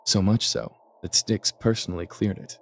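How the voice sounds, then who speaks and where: A man playing a blunt, inquisitive god of death with measured, formal speech in an audio drama. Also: sits low, fairly even intonation. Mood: calm, measured. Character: impassive, inquisitive male god of death, blunt assassins' accountant.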